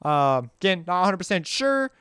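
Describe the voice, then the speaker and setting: A man giving a casual, off-the-cuff software walkthrough as a tutorial host, informal and slangy, rambling as he goes. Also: high voice, very animated, normal speed, smooth, no room sound, clean studio sound.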